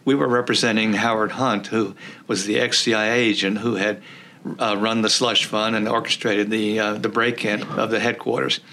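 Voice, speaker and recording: An older man from rural Texas whose first language was Czech, a law professor recalling his career in an interview, pausing often with "uh" and restarting phrases. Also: lowish voice, flat delivery, bright, forceful tone, slight background noise. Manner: halting, many "uh" fillers and restarts.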